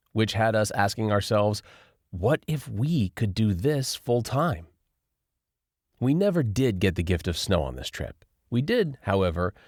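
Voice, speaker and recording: A man narrating a first-person nonfiction audiobook in an expressive, lively reading style. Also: lowish voice, no room sound, studio quality.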